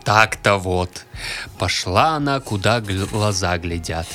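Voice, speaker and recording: Animated man telling a fairy tale. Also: low-pitched, unhurried, soft background music. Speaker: actor, storyteller. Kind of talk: expressive fairy tale narration.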